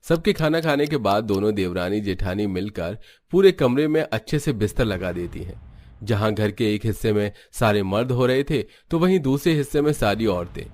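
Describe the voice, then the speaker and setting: Low-voiced man narrating a story. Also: animated, moderate pace, a little mellow.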